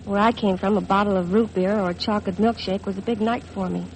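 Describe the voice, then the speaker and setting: An actress playing an insecure, wistful young American bride in a 1950s radio drama. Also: female voice, mid-range voice, fairly even intonation, fast, close to an everyday voice. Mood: confused, sad. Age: young adult. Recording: faintly noisy vintage radio recording.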